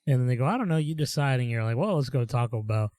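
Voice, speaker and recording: A young man with a mid-range voice co-hosts a podcast and rambles through stories in an animated, upbeat way. The recording is close and dry. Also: mid-range voice, rapid-fire, no room sound. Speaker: podcast co-host. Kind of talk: casual chat.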